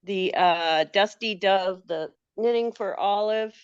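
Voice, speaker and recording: An older woman with a cold, sounding a bit gravelly, chatting on a knitting video call. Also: mid-range voice, slow pace, no echo, lo-fi sound. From Portland, Oregon, USA. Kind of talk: casual chat.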